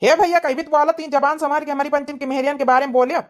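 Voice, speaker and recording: A woman's voice: sits high, flat delivery, rapid-fire, natural voice. A woman voicing an indignant grown village son in a comedy cartoon, scolding and arguing in an Awadhi accent. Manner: scolding, argumentative. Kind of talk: comedy cartoon family quarrel.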